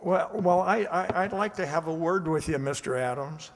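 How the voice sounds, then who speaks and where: An actor playing a timid, amiable night security guard in a radio drama, hesitant and folksy, stammering at times. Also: male voice, on the high side, moderate pace, long reverb tail, close to an everyday voice. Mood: nervous. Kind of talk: radio drama acting and narration.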